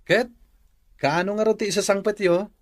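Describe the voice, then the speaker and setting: A woman's voice: mid-range voice, steady tone, moderate pace, lightly acted. A woman playing a familiar, helpful village cousin in a radio drama. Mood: curious.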